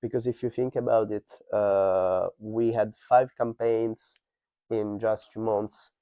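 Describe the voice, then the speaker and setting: A man whose first language is Italian answers interview questions in a low, mellow voice. He talks in a measured way, pausing on fillers as he thinks. The sound is dry and close, with no room around it. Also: fairly even intonation, smooth, dry recording. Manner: measured, thoughtful, with frequent fillers and restarts. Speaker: interviewed project manager. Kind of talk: conversational business podcast interview.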